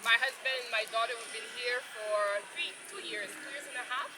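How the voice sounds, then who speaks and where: A woman's voice: fairly high pitch, brisk. Canadian woman, French first language. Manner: introduces herself with filler uh. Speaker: meetup attendee introducing herself. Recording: loud outdoor background noise.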